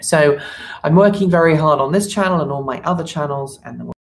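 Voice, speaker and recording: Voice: medium pitch, fairly quick, vocal fry, slight background noise. A live stream host chatting casually and unscripted, rambling and thinking aloud. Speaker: channel host.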